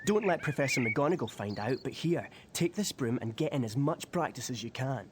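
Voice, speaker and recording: A man's voice: mid-range voice, lively intonation, a little fast, noisy background, close to an everyday voice. Man acting a keen British teenage team captain. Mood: pleased, confident. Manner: eager, coaching. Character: keen, encouraging teenage boy sports captain. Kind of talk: video game tutorial voice acting. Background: loud music.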